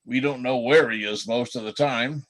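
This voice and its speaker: A man's voice: mid-range voice, moderate pace, lightly acted. A man playing a jovial, affectionate reindeer husband in a folksy radio play style. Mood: jovial, wry. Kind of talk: reader's theatre radio play acting.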